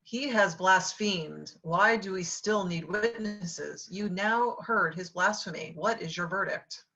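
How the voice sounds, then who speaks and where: A woman's voice: medium pitch, takes it slowly. A woman in her forties reading a scripted scripture part over a video call from home. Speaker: lay reader of the high priest's part. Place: home room, indoors. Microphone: video call, computer microphone. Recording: dull video-call audio.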